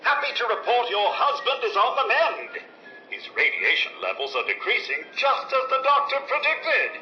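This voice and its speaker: A fussy, deferential British robot butler for a vintage radio play. The voice sits high and swings widely in pitch. It comes through an echoey, lo-fi recording. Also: male voice, wildly swooping pitch, normal speed, soft background music, exaggerated voice. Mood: cheerful, formal. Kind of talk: old-time radio drama acting. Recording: echoey lo-fi radio drama, faint noise.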